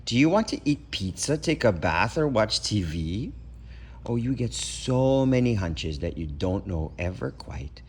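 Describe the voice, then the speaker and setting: Animated man reading a children's storybook. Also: on the low side, a little slow, breathy edge. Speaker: parent reading aloud for children. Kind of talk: expressive children's storybook read-aloud.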